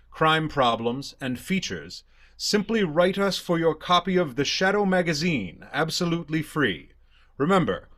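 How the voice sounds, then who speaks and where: A man playing a dramatic 1930s radio announcer and sponsor's pitchman. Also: fairly bright tone.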